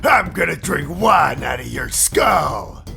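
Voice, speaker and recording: A man playing a stout, brutal prison bully in an audio drama, with a rasping voice and a threatening, barking manner. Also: on the high side, very animated, slow, bright, forceful tone, shouting, soft background music, heavily acted. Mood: furious threats. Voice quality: rasping, gravelly.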